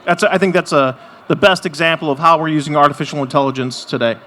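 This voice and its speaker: A man's voice: fairly high pitch, fairly even intonation, fast talker, bright, forceful tone. A man speaking as a panelist on a conference stage, restarting his sentences as he searches for words. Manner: self-correcting, searching for words.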